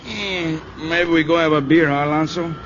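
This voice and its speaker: An actor playing an easygoing deputy with simple, halting phrasing in a 1950s radio drama. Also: male voice, fairly high pitch, fairly even intonation, background noise, lightly acted. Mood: casual. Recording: muffled vintage radio broadcast, outdoor ambience.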